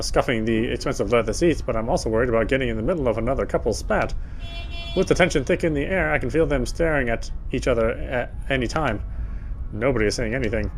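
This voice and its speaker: A man hosting a let's play, reading visual novel narration aloud in an expressive storytelling manner. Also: medium pitch.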